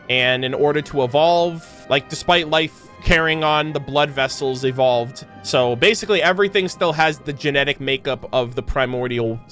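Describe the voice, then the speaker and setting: Higher-voiced man commentating over music. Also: a bit bright. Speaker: streamer reacting to video game lore. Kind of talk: casual commentary, with some reading aloud. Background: background music.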